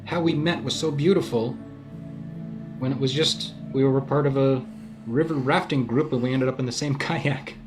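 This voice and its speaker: A man acts out a self-important boyfriend in an improvised comedy scene. He is deadpan yet defensive and halting, in a medium-pitched voice. Music plays behind him. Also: lightly acted. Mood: awkward, resigned.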